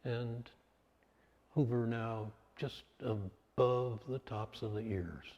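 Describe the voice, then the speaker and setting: A man leading a guided meditation in short, measured phrases with pauses between them. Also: mid-range voice, fairly even intonation, a little mellow, highs cut off. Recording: long hall-like reverb.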